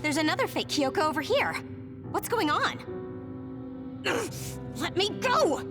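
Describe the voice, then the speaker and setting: An actress playing an excitable, panicky young woman with a girlish voice in an English video game dub. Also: very high voice, slow, music in the background, exaggerated voice. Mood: alarmed.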